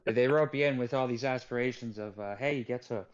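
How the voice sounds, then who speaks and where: A man's voice: mid-range voice, brisk, dull audio. A man co-hosting a casual film podcast, who speaks in a wry way with dry humor. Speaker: podcast co-host.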